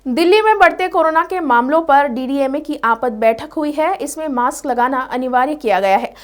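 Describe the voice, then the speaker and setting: A woman's voice: sits high, moderate pace. A woman presenting scripted news as the anchor of a bulletin.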